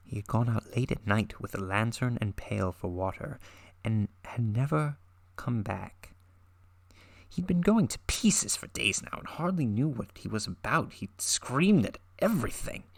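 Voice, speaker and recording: A man giving a dramatic reading of a classic horror story, swinging his loudness and pace for suspense and now and then stumbling and correcting himself. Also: medium pitch. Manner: occasional stumbles and self-corrections. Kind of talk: dramatic read-through of classic horror fiction.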